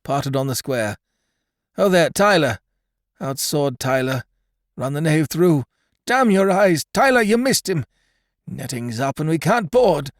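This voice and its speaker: A man's voice: mid-range voice, unhurried, pauses often, a little mellow, dry recording, clean studio sound, voice pushed up, close to an everyday voice. A man voicing a dying male pirate who gasps out pleas and raves between coughs in a story reading. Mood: raving. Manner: gasping, feverish pleas.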